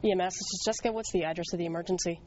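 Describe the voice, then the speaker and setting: Brisk American woman dispatcher. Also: lowish voice, steady tone, a little mellow, highs cut off, slight background noise. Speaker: emergency medical dispatcher. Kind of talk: scripted call-taking and interview talk.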